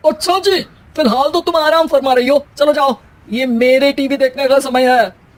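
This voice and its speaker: A woman's voice: sits high, steady tone, normal speed, dry recording, close to an everyday voice. A woman voicing a bossy older mother-in-law in a comic family story, scolding and taunting dramatically.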